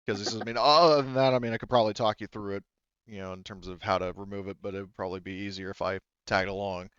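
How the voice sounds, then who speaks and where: Smooth-voiced man running a tabletop game. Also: lowish voice, fairly even intonation, a bit bright, dry recording, muffled recording. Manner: halting, conversational asides. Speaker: game master. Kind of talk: narrating a tabletop roleplaying game.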